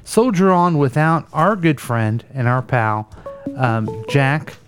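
A man's voice: mid-range voice, expressive, slow pace, soft background music. A man hosting a casual comedic radio talk show, rambling and correcting himself as he goes.

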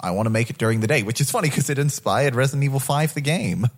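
A man's voice: medium pitch, very fast. A man bantering casually on a podcast, blunt and joking, with some swearing.

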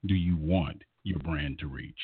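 A man's voice: rumbling low, measured pace, breathy edge, dry recording. A man hosting a radio music interview in an effusive, complimentary manner. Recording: narrow phone-line sound.

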